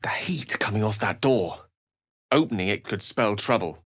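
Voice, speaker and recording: An actor playing an eloquent, courteous and wry wizard in a fantasy video game, with a British accent and articulate, theatrical phrasing. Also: male voice, on the low side, animated, moderate pace, a bit bright, no echo, studio quality. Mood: grave, measured. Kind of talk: dramatic fantasy game dialogue.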